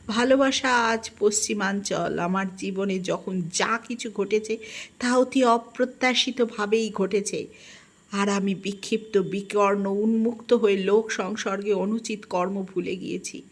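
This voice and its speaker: A woman reads a first-person literary story in an expressive, melancholic and dramatic manner. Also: medium pitch, measured pace. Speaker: audiobook narrator.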